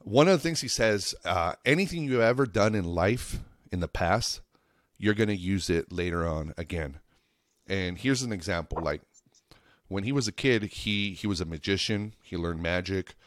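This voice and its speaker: A man with a low voice, recorded dry and without room sound. He talks like a comic on a podcast, casual and anecdotal. His delivery is earnest and rambling, full of slang and the odd swear word. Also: lively intonation, moderate pace, dry recording. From United States, Lake Tahoe and Reno area of Nevada. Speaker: stand-up comedian.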